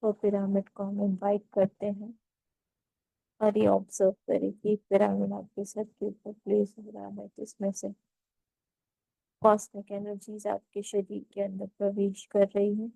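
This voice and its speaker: Female meditation instructor, relaxed dark timbre, close dry sound, unhurried phrases with pauses, gently instructive. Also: medium pitch, gravelly, no echo, rough recording. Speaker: meditation guide.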